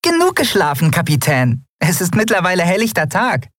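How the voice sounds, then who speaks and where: A man plays a tiny winged female creature in an audiobook. The voice is squeaky and pushed very high, with a cheeky, animated lilt. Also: brisk, dry recording, studio quality, full character voice. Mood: cheeky, bright. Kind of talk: audiobook character voice.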